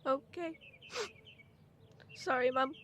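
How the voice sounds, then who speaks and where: A woman's voice: high-pitched, slow, a bit bright, dull audio, slight background noise. A woman playing a small, eager, obedient young boy in an audio drama. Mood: meek.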